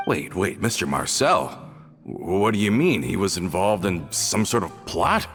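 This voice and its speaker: Deep-voiced, earnest gang man. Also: very animated, unhurried, fairly bright tone, faint music behind, heavily acted. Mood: puzzled. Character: earnest adult male gang member, back from prison. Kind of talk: English video game dub.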